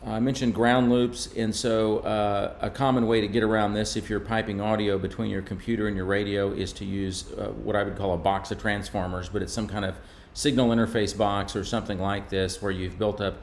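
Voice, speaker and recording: A man giving an explanatory technical slide presentation in a measured, informal way. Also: lowish voice, level tone, slight background noise. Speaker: presenter from a radio maker.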